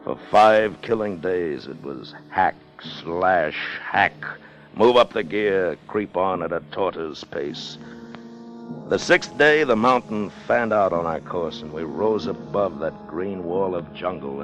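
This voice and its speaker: Low-voiced, scheming sea officer. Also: male voice, animated, takes it slowly, fairly bright tone, full character voice. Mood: brooding, scornful. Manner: commanding, old-fashioned seafaring speech, brooding asides. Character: hard, scheming, greedy sailing-ship officer leading a treasure hunt. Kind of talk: 1950s radio drama acting. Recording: 1950 radio broadcast with music underneath.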